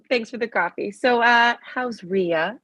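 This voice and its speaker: A woman plays a chatty middle-aged mother in a comedy table read. Her voice is husky and unclear at the edges, and she delivers judgment in a breezy, needling way. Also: a little high, animated, takes it slowly, fairly bright tone, heavily acted.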